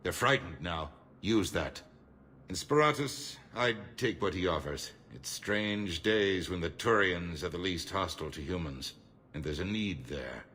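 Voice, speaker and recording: Shrewd, defiant middle-aged statesman for a sci-fi game; forceful, sharp, oratorical delivery over background ambience. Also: deep voice, expressive, slight background noise, full character voice. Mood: resolute. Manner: measured, oratorical, emphatic. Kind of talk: cinematic game dialogue.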